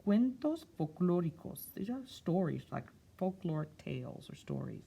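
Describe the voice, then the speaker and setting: A woman reading a picture book aloud for children, stretching key words expressively and pausing for soft explanatory asides. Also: on the low side, very animated, creaky, husky. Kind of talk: read-aloud story time with explanatory asides.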